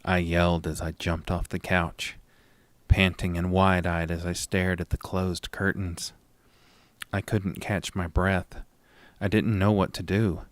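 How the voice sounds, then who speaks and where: A man playing an anxious, haunted narrator in a first-person horror audio drama, deliberate and suspenseful. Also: low-pitched, level tone, no echo. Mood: tense dread.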